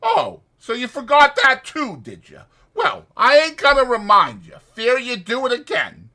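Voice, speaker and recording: A man's voice: very high-pitched, big pitch swings, a little slow, gravelly, bright, forceful tone, voice pushed up, exaggerated voice. A man voicing a short-tempered, bossy working man who scolds in rustic, ungrammatical speech in a dramatized audiobook. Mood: disgusted, forceful.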